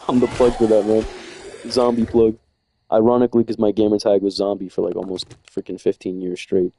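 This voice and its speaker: A man's voice: lowish voice, warm tone, slight background noise. A young man chatting casually and rambling in slang while streaming a game from home. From United States. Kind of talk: casual chat while playing.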